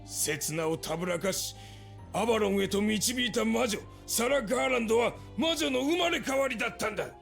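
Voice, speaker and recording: A man playing an excitable, accusing church follower in a Japanese visual novel, declaiming like a game voice actor. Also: high voice, rapid-fire, creaky, soft background music, exaggerated voice. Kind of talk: Japanese video game voice acting.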